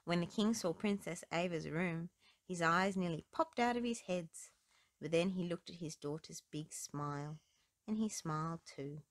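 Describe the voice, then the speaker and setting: A woman's voice: lowish voice, a little slow, no room sound. A woman narrating children's stories and rhymes aloud as a storybook reader, recorded close up indoors.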